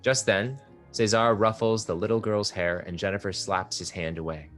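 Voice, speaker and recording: A man calmly reading stage directions aloud at a play table read. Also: fairly low pitch, normal speed, soft background music. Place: art exhibition theater area.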